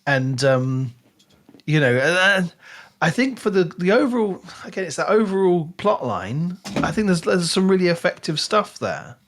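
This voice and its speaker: A man's voice: high-pitched, very animated, moderate pace, slight background noise. A man co-hosting a podcast, casually discussing a book and acting out reactions as he retells it.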